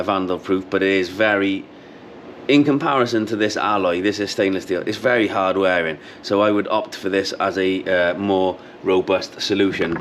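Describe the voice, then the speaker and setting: Low-voiced man demonstrating a product. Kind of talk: casual how-to product demonstration. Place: showroom with intercom display wall, indoors. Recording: clearly noisy room background.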